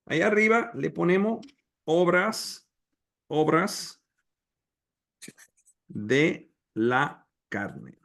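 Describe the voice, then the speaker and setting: Man teaching Bible study in halting Spanish. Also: on the high side, big pitch swings, creaky, fairly bright tone. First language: English. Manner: slow, halting Spanish with pauses and restarts. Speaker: preacher. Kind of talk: Bible study lesson on a video call. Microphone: video call.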